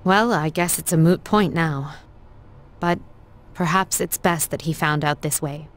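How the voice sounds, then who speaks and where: Composed young female student leader, game drama; lowish voice, formal, serious delivery. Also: unhurried, slight background noise. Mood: calm, resigned. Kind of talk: scripted game voice acting.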